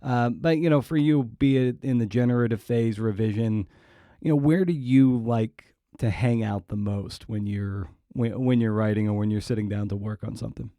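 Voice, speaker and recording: A man's voice: fairly low pitch, normal speed, dark tone, no room sound, studio quality. A man interviewing a guest as a podcast host, casually working his way toward questions in a rambling, hesitant manner.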